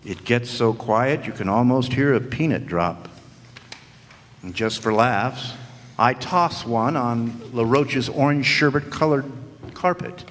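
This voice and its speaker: A wisecracking hardboiled private eye, played by a man narrates in a dry, sardonic drawl. His mid-pitched voice rings with long reverb from a hall, as in a live radio play. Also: takes it slowly, a bit bright, slight background noise. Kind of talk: 1940s-style noir radio acting. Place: live stage performance in a hall.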